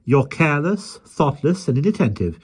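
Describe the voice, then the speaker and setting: A woman's voice: a bass voice, wildly swooping pitch, moderate pace, dark tone, husky, voice pushed up, full character voice. A man voicing a stern, prim nanny who scolds severely in clipped tones. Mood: severe scolding.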